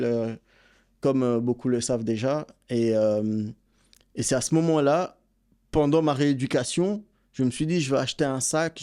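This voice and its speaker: A Belgian man, a boxing coach and content creator, telling his story in a relaxed, easygoing way as a podcast guest. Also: medium pitch, smooth. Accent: Belgian French. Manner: relaxed storytelling, many fillers. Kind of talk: casual interview.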